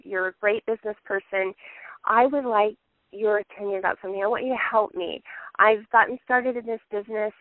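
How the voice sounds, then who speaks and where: A woman's voice: mid-range voice, no room sound. A woman speaking on a telephone conference call, giving earnest, conversational business advice and explaining it step by step. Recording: narrow, tinny telephone conference line.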